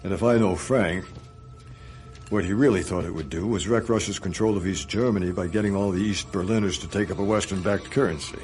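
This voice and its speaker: Actor as shrewd, low-voiced senior male spymaster. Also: darkish tone, soft background music, close to an everyday voice. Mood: knowing. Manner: brisk, probing, knowing. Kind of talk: naturalistic radio drama dialogue.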